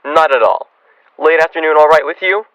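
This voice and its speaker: A man's voice: fairly high pitch, brisk, muffled recording, background noise, close to an everyday voice. A man acting a wry, ghoulishly cheerful, money-minded frontier doctor in a radio western, chatty and drily gleeful. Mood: wryly gleeful. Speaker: actor in a student radio drama.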